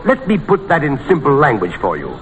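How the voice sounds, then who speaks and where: Fussy, high-voiced pompous quizmaster. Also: male voice, huge pitch swings, fairly quick, a bit bright. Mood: verbose, formal. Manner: verbose, stiffly courteous, hesitant. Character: fussy, over-polite, pompous male stand-in quizmaster. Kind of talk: 1940s radio comedy acting. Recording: noisy old radio recording.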